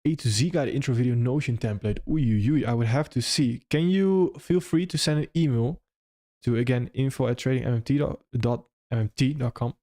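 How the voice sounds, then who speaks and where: Young non-native English-speaking man, live-stream host answering chat, loose and rambling, mid-pitched voice. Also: fairly quick, warm tone. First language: not English. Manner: loose and conversational, frequent restarts and "if that makes sense".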